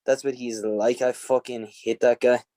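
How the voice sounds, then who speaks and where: A man's voice: mid-range voice, little pitch movement, warm tone, dry recording. A man with an Irish accent, a podcast guest talking bluntly and profanely in a casual interview. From Ireland. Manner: blunt, profane and fiery, quick to laugh.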